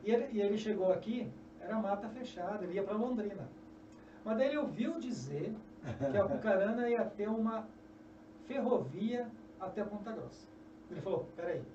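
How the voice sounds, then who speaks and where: A middle-aged man with a Brazilian Portuguese accent, a journalist and author telling history as a guest, animated and often restarting phrases, in a small podcast studio at a desk mic. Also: high-pitched, normal speed. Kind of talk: storytelling interview answers. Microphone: desk mic on a stand. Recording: echoey, distant, dull, noisy.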